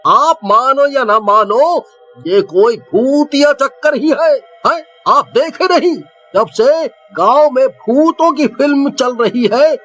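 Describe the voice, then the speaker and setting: A man's voice: very high voice, animated, slow, fairly bright tone, exaggerated voice. A man voicing worried village men in a horror cartoon, with dramatic, pleading colloquial speech on a muffled recording. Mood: uneasy. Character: frightened village men in a haunted tale. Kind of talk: Hindi horror cartoon character acting. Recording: muffled lo-fi track with music.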